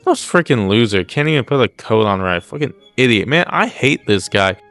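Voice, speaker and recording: A man's voice: medium pitch, big pitch swings, fairly quick, vocal fry, fairly bright tone, dry recording, soft background music. A man hosting casual comedic video commentary, mock-serious, blunt and crude with plenty of swearing. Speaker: YouTube host.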